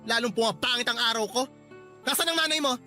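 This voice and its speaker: An actor playing a cruel, hot-tempered male landlord who scolds and shouts insults in a radio drama. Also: medium pitch, quick pace. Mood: angry, bullying. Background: music.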